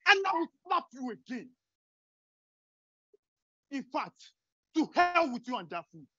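A woman's voice: high voice, sing-song, measured pace, sharp tone, no room sound, lo-fi sound, exaggerated voice. A woman voicing an arrogant, abusive chief who scolds and threatens his wife. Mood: scornful.

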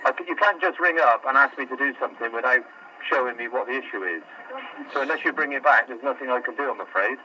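A man's voice: mid-range voice, fast talker, bright, forceful tone, slight background noise, rough recording. A man working store customer service, answering a call patiently but growing exasperated, stumbling and repeating himself. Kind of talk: phone call.

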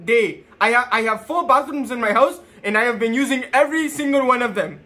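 A man in his twenties making a prank phone call with feigned seriousness, halting and addressing the listener as sir. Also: very high voice, bright, forceful tone. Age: 20s. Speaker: prank caller. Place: small plain room indoors.

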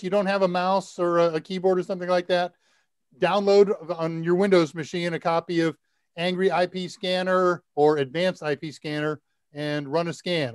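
An older man in his sixties hosting a live tech Q&A, talking casually and deliberately as he thinks aloud. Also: on the high side, clear, resonant tone, no echo, clean studio sound. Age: older, 60s. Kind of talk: casual technical advice. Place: indoor room, video call.

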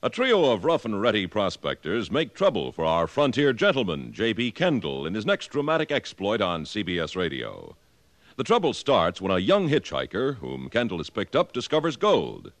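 A man's voice: fairly low pitch, wildly swooping pitch, normal speed, dry recording. A man with an American accent working as a radio announcer, reading scripted promotion in a polished, dramatic 1950s style.

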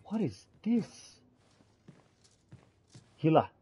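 A man's voice: on the high side, sing-song, very slow, dark tone, highs cut off, slight background noise. A man doing in-character gameplay commentary, exclaiming and talking to himself and his game companions. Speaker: gamer playing in character.

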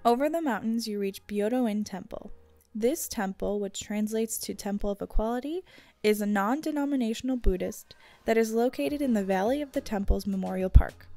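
Female travel narrator, scripted guide read, warm low-brightness tone with gravelly fry, faint music behind. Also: normal speed, no room sound.

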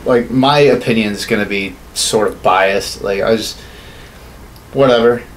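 A man's voice: on the low side, unhurried, poor audio. A man in his thirties chatting casually and bluntly as a podcast co-host, swearing freely as he gives his opinions. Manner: blunt, profane. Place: small home studio room. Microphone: podcast mic on desk boom arm. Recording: clearly audible background noise.

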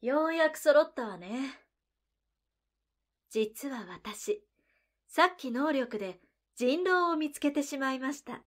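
A woman's voice: high voice, animated, takes it slowly, pauses often. A woman playing a cool, alluring, defiant woman in a voice drama, with a teasing, condescending manner. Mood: confident. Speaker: voice actor. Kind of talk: anime-style voice drama acting.

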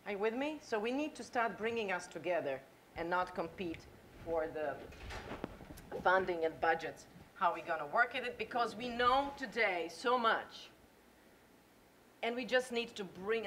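A woman's voice: medium pitch, expressive, moderate pace, a bit bright, slight background noise. A middle-aged woman from Croatia with a Croatian accent, giving a conference talk in a lecture hall and asking the audience questions. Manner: engaging, asks the audience questions.

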